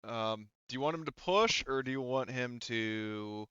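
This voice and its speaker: Man, game master, low smooth voice, casual tabletop narration, dry slightly muffled recording. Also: a little slow, fairly bright tone, no room sound.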